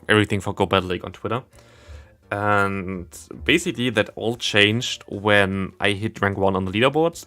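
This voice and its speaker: A man's voice: lowish voice, fairly bright tone. A man from Germany with a German accent, casually commentating a game and chatting as a livestreamer in a rambling, self-deprecating way. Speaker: livestreamer and content creator. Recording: music playing behind the voice. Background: background game music.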